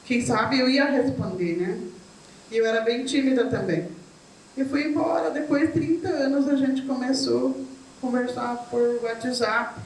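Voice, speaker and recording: A woman's voice: on the high side, unhurried. Chatty Brazilian woman in an echoey room. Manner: chatty, warm storytelling with many "né". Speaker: guest. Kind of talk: casual chat. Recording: echoey room from far off, faint noise.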